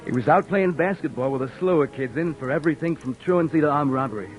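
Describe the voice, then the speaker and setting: A man playing a gruff but decent beat cop who talks in streetwise slang and narrates his story, in 1940s radio acting style. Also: fairly high pitch, fast talker, soft background music, close to an everyday voice, poor audio. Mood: narrating. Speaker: police officer and narrator.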